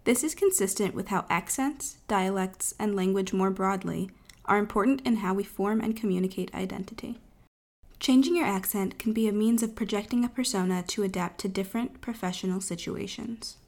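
A woman's voice: medium pitch, moderate pace, a little mellow. A woman narrator reading a scripted, explanatory documentary piece.

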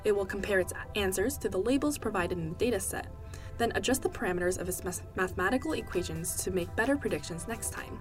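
A woman's voice: mid-range voice, lively intonation, quick pace, faint music behind. A woman whose first language is Korean, reading a scripted explainer about language learning.

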